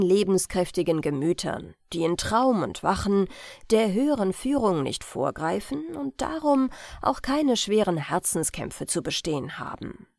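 A woman narrates an audiobook story with careful phrasing and a very lively, swinging melody. Her voice sits at a middle pitch. The sound is dry and close, with no room around it. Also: no echo. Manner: expressive, carefully phrased read-aloud. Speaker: audiobook reader. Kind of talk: scripted storytelling narration of old family tale.

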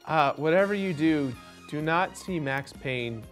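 A man in his thirties speaking casually as a contestant on a studio game show, thinking out loud. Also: on the high side, measured pace, faint music behind. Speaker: game show contestant, online video host. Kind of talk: casual, unscripted quiz answers. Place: indoor studio set.

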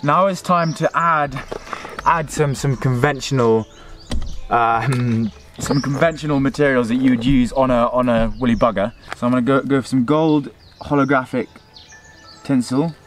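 A man's voice: mid-range voice, moderate pace, slight background noise. A young man hosting a fishing vlog, talking viewers through his steps in a casual, chatty way. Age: young adult. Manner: chatty, addresses viewers as folks and guys. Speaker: fishing channel host. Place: outdoors by a river. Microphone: handheld camera at arm's length.

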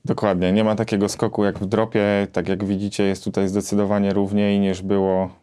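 A man's voice: on the low side, flat delivery, brisk, mellow, clean tone. A man in his thirties co-hosting a gear show, casually explaining and demonstrating in a small studio. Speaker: co-host of a guitar gear review show. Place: small indoor home studio.

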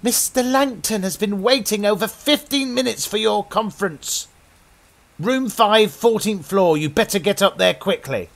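A brisk female office receptionist, put on by a male narrator in a horror tale. She scolds urgently and curtly in a raised pitch. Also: creaky. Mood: urgent, scolding. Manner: urgent, curt. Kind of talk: dramatised horror storytelling.

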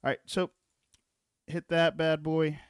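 A man presenting a casual software walkthrough, informal and often checking in with the listener. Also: fairly high pitch, flat delivery, takes it slowly, clean tone, dry recording, studio quality. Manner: informal, repeatedly checking in with "okay" and "all right". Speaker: tutorial presenter.

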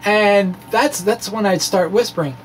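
A man's voice: sits high, a little slow. A middle-aged man presents like a science video blogger, theatrical and folksy. His delivery mixes dramatic reading with jokey, informal asides. He is recorded on a microphone in a small room, with some background noise. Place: small indoor room with bookshelves. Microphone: microphone on a stand in front of him.

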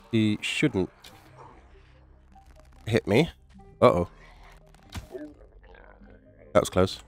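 Stuffy-nosed man, low voice. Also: no echo. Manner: chatty, rambling, mild swearing. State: stuffy, nasal from a cold. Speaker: gaming YouTuber. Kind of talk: casual gameplay commentary.

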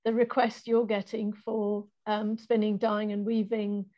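A woman moderating an online panel discussion, speaking thoughtfully with many pauses and restarts. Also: medium pitch, little pitch movement. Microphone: video call laptop mic. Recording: dull lo-fi video call audio.

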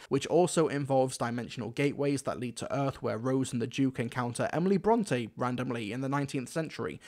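A man's voice: mid-range voice, a little mellow. A young British man giving conversational review commentary into a close desk microphone at home. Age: young adult. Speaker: video reviewer. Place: small furnished room at home.

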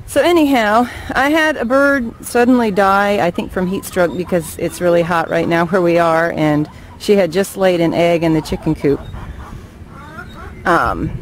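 A woman's voice: on the low side, slight background noise, poor audio. A woman keeping backyard chickens, talking in a plain, matter-of-fact way with some ums as she explains, filmed outdoors on a handheld camera. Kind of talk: casual informational vlog.

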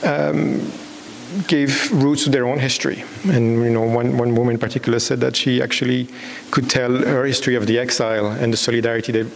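A man in his thirties from Belgium, a documentary filmmaker, giving a halting, unscripted answer on stage with repeated false starts. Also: mid-range voice, little pitch movement. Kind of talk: unscripted answer at a public talk. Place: on stage in a large dark hall with an audience. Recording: echoey hall with clear background hiss.

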